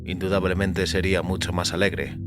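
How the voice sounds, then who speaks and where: Audio fiction narrator: man, low register, grave and deliberate, music bed behind. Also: flat delivery.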